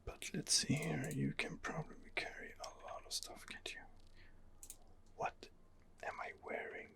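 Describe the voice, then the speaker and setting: A man's voice: on the high side, huge pitch swings, a little slow, pushed and bright. Whispering man doing ASMR game commentary. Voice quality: whispered, breathy. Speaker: gamer narrating his role-play playthrough.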